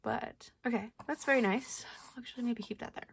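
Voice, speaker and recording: A young woman chatting casually through a stationery unboxing, thinking aloud with lots of likes and ums, her voice a little hoarse. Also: fairly high pitch, a little fast, a little hiss or hum. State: slightly hoarse. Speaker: planner vlogger.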